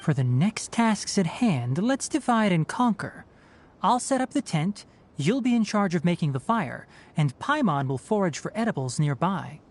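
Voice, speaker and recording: A calm young forest ranger from a video game, speaking in a lowish voice with lively rises and falls. He explains the wilderness patiently, like a teacher, with a mild teasing edge. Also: normal speed, a little hiss or hum. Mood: instructive.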